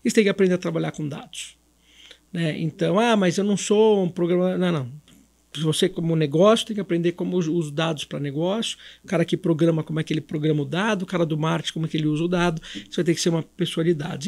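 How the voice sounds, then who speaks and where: Male podcast guest, Brazilian accent, high-pitched and quick, didactic and emphatic business adviser. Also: vocal fry. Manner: didactic, emphatic, numbers his points. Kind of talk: conversational business advice.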